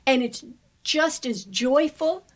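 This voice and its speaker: A woman's voice: high-pitched, lively intonation, takes it slowly. A woman singer talking in a reflective, earnest way during a casual chat.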